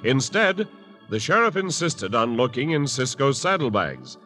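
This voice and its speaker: Bright crisp radio announcer man. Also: mid-range voice, very animated, unhurried, soft background music. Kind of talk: scripted 1950s radio narration.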